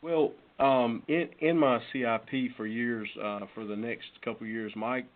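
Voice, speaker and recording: A man's voice: mid-range voice, steady tone, moderate pace, phone-quality sound. A man answering questions in a budget meeting in an explanatory, matter-of-fact way, as a fire chief. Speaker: fire chief reporting to council. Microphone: conference call. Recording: phone-band conference call, noisy, clicks.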